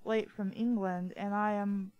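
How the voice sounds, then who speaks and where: A woman's voice: mid-range voice, flat delivery, unhurried, a little mellow, highs cut off, natural voice. A woman reading the lines of a polite, nervous English scholar in a storybook. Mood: earnest.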